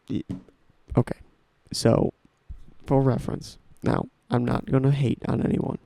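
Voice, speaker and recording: A man's voice: medium pitch, creaky, dark tone, no echo. A man of nineteen hosting a podcast, talking casually and enthusiastically with frequent restarts. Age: young adult. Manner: rambling, enthusiastic, restarts often. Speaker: podcast host. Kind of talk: casual solo talk about music.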